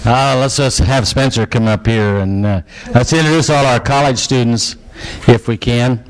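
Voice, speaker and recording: A man's voice: medium pitch, moderate pace, a little hiss or hum, rough recording. A man introducing visiting guests at a church meeting in a friendly, joking manner. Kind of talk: casual introductions.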